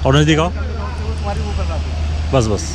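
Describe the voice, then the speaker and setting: A man's voice: a little high, animated, takes it slowly. Brief: middle-aged male vlogger, eager, phone held at arm's length outdoors at night, noisy background. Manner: enthusiastic, repeats praise often. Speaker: travel vlogger. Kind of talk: casual guided tour vlog. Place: outdoor cricket stadium at night. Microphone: phone camera at arm's length.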